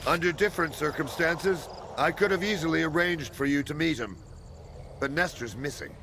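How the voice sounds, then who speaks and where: An actor playing a ruthless, authoritative military commander in a video game cutscene, speaking in measured, commanding phrases. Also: male voice, fairly high pitch, creaky, sharp tone, a little hiss or hum. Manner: commanding, measured, clipped orders.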